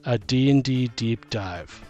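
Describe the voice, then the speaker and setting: A man co-hosts a casual gaming podcast at a slow pace. His delivery is halting and stumbles over words, in a medium-pitched voice, with music playing behind him. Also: warm tone, dull audio. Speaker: podcast co-host. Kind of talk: casual chat about tabletop gaming, some reading aloud.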